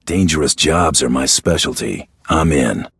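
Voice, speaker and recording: Game bounty hunter, extremely low voice, heavy rasp, terse, cocky, sardonic. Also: male voice, a little slow, fairly bright tone, no echo, studio quality, heavily acted. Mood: cool, confident. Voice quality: gravelly, raspy. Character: hard-bitten, cocky male bounty hunter. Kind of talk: video game cutscene acting.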